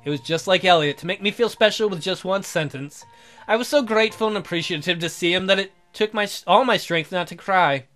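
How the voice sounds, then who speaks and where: Male game host, very high voice, theatrical reader of romance narration, music underneath. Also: a little fast, fairly bright tone. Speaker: gaming video host. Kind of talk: reading visual novel narration aloud.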